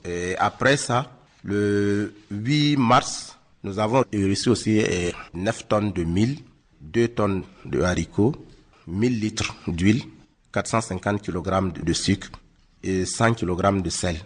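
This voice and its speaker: A man's voice: lowish voice, slow pace. A man with a Burkinabe accent, an aid committee president giving a factual, methodical interview report. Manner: methodical listing of figures.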